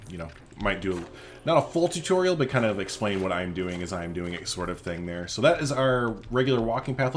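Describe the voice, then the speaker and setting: Casting: male gaming host, lowish pitch, loose off-the-cuff play-along chat, some noise behind. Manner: rambling, thinking aloud while playing. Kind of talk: casual game commentary.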